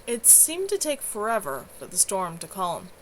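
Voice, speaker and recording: A woman's voice: mid-range voice, wildly swooping pitch, normal speed. A woman narrating fantasy fiction as an amateur author reading her own story aloud. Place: at home. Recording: clear background noise, home livestream.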